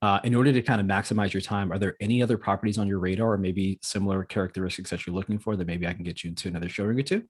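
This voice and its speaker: A man's voice: low voice, fairly even intonation, rapid-fire, few pauses, husky. A man speaking as a real estate agent on a practice sales call, politely affirming, with an occasional stammer. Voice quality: occasional stammer, gets hung up on words. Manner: polite, repeats back and affirms often. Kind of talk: role-played phone sales call.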